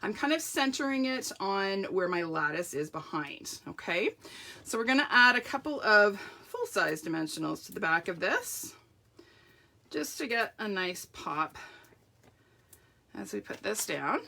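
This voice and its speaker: Woman, middle-aged, croaky throat; chatty, self-deprecating crafter hosting a live stream, works as she talks. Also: mid-range voice, big pitch swings, unhurried, bright, forceful tone. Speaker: card-making demonstrator. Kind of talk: live craft tutorial with viewer shout-outs.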